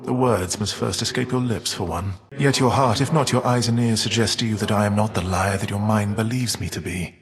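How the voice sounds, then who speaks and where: A man playing a weary, defiant, all-knowing Titan in a video game, speaking in a measured, formal, archaic and foreboding way. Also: fairly low pitch, big-room sound, lightly acted. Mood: solemn, foreboding. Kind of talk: theatrical video game character voice acting.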